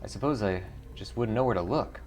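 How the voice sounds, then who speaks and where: A man voicing a wry, matter-of-fact dwarf coroner in a video game, explaining things in a measured way. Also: lowish voice, a little mellow, soft background music, close to an everyday voice. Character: dry, wry dwarf coroner, principled and solitary.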